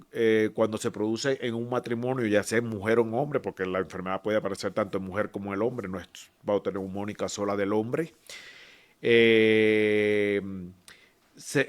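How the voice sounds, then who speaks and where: Cuban man explaining medicine, low voice. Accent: Cuban Spanish. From Cuba. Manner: explains patiently with many fillers and restarts. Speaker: physician guest. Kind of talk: explanatory medical podcast talk.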